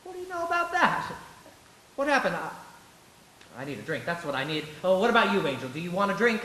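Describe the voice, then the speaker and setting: A man acts out a distraught small-town everyman in an old radio play. His high voice stammers and exclaims, swinging widely in pitch. It rings out in an echoing stage hall. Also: sing-song, fast, sharp tone, full character voice. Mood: startled, restless. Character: distraught, agitated small-town family man, bewildered and desperate. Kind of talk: 1940s radio drama acting on stage. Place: live theatre stage. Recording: roomy stage hall with music behind.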